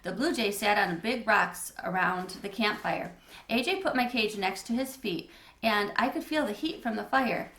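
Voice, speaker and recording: A woman's voice: medium pitch, moderate pace, fairly bright tone. A woman reading a children's storybook aloud as narrator in an echoey room. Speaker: read-aloud reader.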